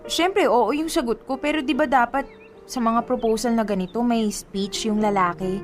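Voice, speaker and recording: A woman's voice: fairly high pitch, normal speed, over music, natural voice. A woman playing a sweet, playful, superstitious young girlfriend in a Tagalog radio drama, with a Filipino accent. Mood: teasing surprise.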